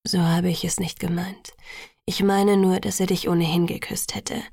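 A patient, soothing young lover in an audiobook, played by a woman. He speaks in a soft murmur, breathy and gravelly at the edges. The sound is close and dry. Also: fairly low pitch, level tone, moderate pace, no echo. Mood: gentle, wry. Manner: hushed, murmured, softly spoken. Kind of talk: audiobook dialogue.

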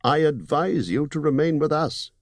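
A man voicing a wise male elf king who speaks in a formal, measured way. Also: on the high side, expressive, dry recording, pitched above the performer's own voice. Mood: stately.

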